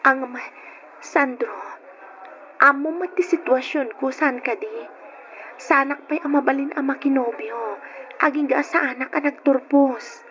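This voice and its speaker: A woman's voice: sits high, steady tone, measured pace, long pauses, a bit bright, highs cut off, a little hiss or hum. An actress playing a young female student, coy and playful, in an old radio drama. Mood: hesitant.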